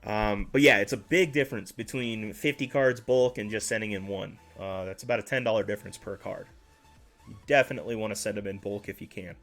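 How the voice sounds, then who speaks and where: A man's voice: on the low side, a little fast, a bit bright, faint music behind. A man hosting a casual livestream, chatting with viewers in a rambling way and correcting himself as he goes, a little congested and nasal. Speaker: card-collecting livestream host.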